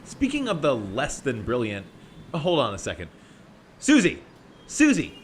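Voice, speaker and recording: A man's voice: medium pitch, huge pitch swings, moderate pace, a little hiss or hum. A man playing an arrogant, short-tempered scientist in an audio drama, sarcastic and belittling in manner. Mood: irritated, scolding.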